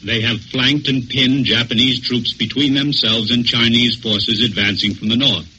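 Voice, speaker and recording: American male officer, crisp-edged and very tense, scripted 1940s radio war commentary, slight background noise. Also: mid-range voice, steady tone, normal speed, pushed and bright, highs cut off. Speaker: military officer giving war review. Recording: faintly noisy 1940s radio broadcast.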